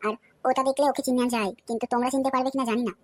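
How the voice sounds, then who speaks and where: A woman's voice: sits high, fairly even intonation, rapid-fire, no echo. A woman voicing a politician's young daughter in a comedy cartoon, chatty and tattling. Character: young, tale-telling daughter of a local politician. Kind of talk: amateur comedy cartoon voice-over.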